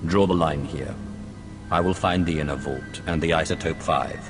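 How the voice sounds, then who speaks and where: Low-voiced, commanding Sith lord. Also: male voice, moderate pace, music in the background. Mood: cool command. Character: commanding male Sith lord of an evil empire, composed and solemn. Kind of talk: video game cutscene acting.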